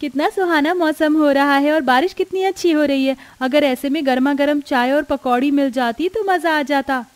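A woman voicing a hungry, excitable young girl in a cartoon family drama, eager and exclaiming. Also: high-pitched, moderate pace, few pauses, voice pushed up, natural voice. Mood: excited. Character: hungry, excitable young girls, poor sisters-in-law.

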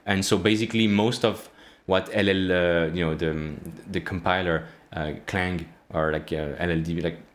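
Man, 30s, low voice; relaxed coding-tutorial host, halting and full of fillers, recorded close in a small room. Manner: halting, many fillers and restarts. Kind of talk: casual screen-share coding walkthrough. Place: small indoor room, plain wall.

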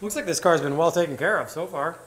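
A man in his thirties hosting a car vlog, talking fast and with energy while working in a tire workshop. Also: fairly high pitch, a little hiss or hum. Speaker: car builder and vlog host. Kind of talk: casual on-camera vlog. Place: indoor tire workshop garage.

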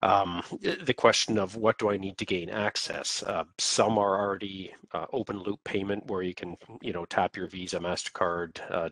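Male, Canadian accent, low-pitched voice, dry close sound, informal presenter, halting explanatory delivery. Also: fairly bright tone, no room sound. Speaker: webinar presenter.

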